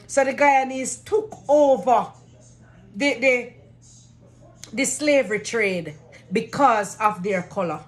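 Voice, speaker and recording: A woman from Jamaica speaks slowly and deliberately in a Jamaican accent. She stresses her words as she tells a story from her working life, voicing the people she met. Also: medium pitch. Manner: slow, emphatic, quotes others. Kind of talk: storytelling from personal experience.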